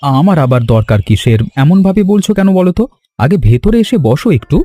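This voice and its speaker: A man voicing an eager, obliging young village man in animated cartoon dialogue. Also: a little high, a little fast, no echo, faint music behind. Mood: curious, surprised.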